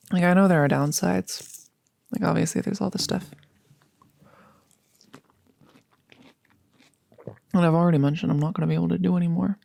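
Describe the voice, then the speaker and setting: A woman's voice: sits low, creaky, dark tone, dry recording. A woman playing a chatty, upbeat girlfriend in an intimate ASMR roleplay, rambling casually and musing with hesitations. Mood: musing, hopeful. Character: chatty, upbeat girlfriend about to become a vampire.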